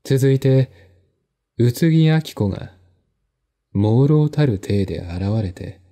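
A man reading literary narration in a measured, deliberate, formal manner, as in an audio drama. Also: medium pitch, lively intonation, dark tone. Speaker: narrator, solo audiobook reader.

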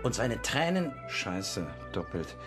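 A slow, earnest amateur-author constable. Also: male voice, mid-range voice, big pitch swings, music in the background. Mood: melodramatic, earnest. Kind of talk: old German radio play acting.

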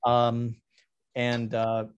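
A middle-aged man talking casually about his artwork on a video call, halting with many ums and restarts. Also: medium pitch, completely flat delivery, smooth, lo-fi sound. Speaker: artist presenting his work. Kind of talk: casual talk over a slide presentation.

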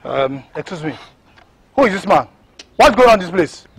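Casting: indignant outside buyer, impatient, husky and fairly high voice, film drama. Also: male voice, big pitch swings, moderate pace, no echo, exaggerated voice.

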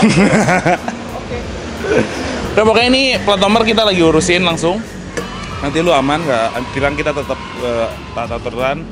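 A young man hosting a casual vlog at an outdoor garage, teasing and joking in relaxed slang. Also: fairly high pitch, moderate pace, long pauses, music in the background. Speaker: vlog host. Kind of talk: casual chat.

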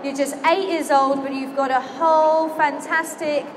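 High-voiced woman announcing at a show. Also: steady tone. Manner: slow, declamatory announcing of names. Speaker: ring announcer. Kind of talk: public-address announcing. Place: large indoor exhibition hall. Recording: crowd and hall noise.

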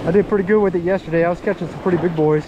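A man talking casually to viewers in an excitable, folksy, slangy way, like a fishing vlogger, recorded outdoors on an action camera. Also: sits high, very fast, highs cut off. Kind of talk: casual fishing vlog commentary. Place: outdoors on an open sea beach. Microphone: body-worn action camera. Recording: windy beach, surf and wind noise. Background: wind and breaking waves.

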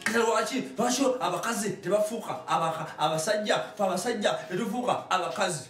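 A middle-aged man with a Ugandan accent, delivering an animated comic monologue to camera as a show host, often repeating phrases for emphasis. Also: high voice, fast. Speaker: online comedy show host. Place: small indoor studio with hard walls. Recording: echoey room, distant microphone, music.

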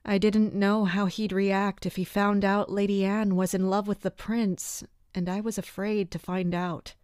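Casting: actress as composed, guarded, caring woman for audio drama, unhurried delivery, mid pitch, dry close sound. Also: clean tone, no room sound, natural voice. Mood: pensive, guarded. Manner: calm, measured, gentle. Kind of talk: audio drama acting with first-person narration.

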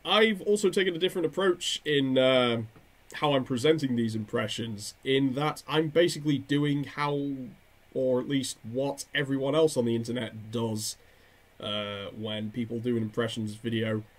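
A man's voice: mid-range voice, normal speed. A young man chatting casually to camera in a hesitant, rambling way, at a desk microphone in a bedroom. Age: young adult. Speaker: video creator and amateur voice impressionist. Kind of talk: casual vlog talk to camera. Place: small bedroom indoors. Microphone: desk condenser microphone close in front.

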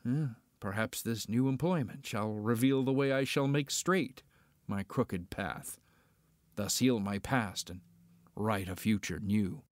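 A man's voice: fairly low pitch, a little slow, no echo, close to an everyday voice. Man playing a dry-recorded roguish smuggler. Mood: brooding. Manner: declaiming archaic verse. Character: cocky roguish smuggler pilot with wry conscience. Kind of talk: amateur Shakespearean dramatic reading.

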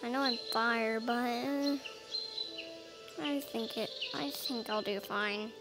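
A woman's voice: a little high, slow pace, loud music behind the voice. A woman talking casually to herself with little hums as she roleplays a character in a video game. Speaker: gameplay video player. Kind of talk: casual solo roleplay as a dragon while playing.

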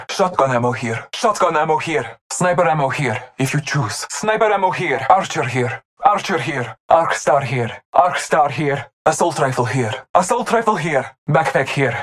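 A devout hunter warrior from a video game, with a somewhat high-set voice. The delivery is solemn and formal, like short ceremonial callouts to fellow fighters in the field. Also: male voice, fairly bright tone.

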